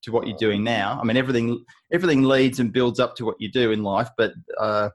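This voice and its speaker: A man's voice: medium pitch, fast. Guy hosting a podcast, chatty and rambly, keeps restarting his sentences. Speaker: podcast host. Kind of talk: podcast interview.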